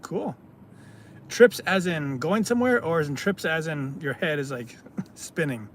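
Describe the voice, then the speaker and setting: A man from California, hosting a casual live stream, reading out viewer comments and answering them in a halting way with many fillers. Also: on the high side, expressive, a little hiss or hum. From California, United States.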